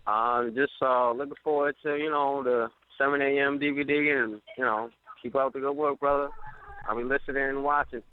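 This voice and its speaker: A man chats casually as a phone-in caller. His voice is of medium pitch. The line is narrow and tinny, clearly a telephone. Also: fairly even intonation, normal speed.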